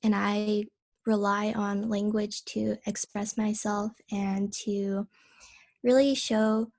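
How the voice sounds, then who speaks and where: A woman writer talking on a video call in a soft, thoughtful, measured way about her own piece. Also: medium pitch, little pitch movement. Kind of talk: reflective personal talk.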